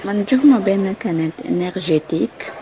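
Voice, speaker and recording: A woman's voice: medium pitch, animated, a little slow, background noise. A woman with a Senegalese accent acting a demanding young girlfriend in a comic cartoon, mixing French into Wolof. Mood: pleased. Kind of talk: comic animated cartoon dialogue.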